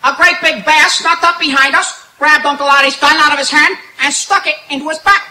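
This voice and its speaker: A woman playing a loud, dim-witted, wisecracking male sidekick in an old-time radio comedy routine. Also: high-pitched, fairly even intonation, a little fast. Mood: cocky, joking. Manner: loud, punchline delivery. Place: outdoor night stage in the woods. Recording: echoey, noisy live outdoor stage recording.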